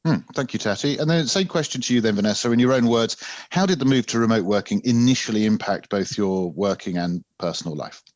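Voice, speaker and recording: Male interview host, polite and measured, fairly low voice, dry recording. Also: no echo, lo-fi sound. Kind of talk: structured interview hosting.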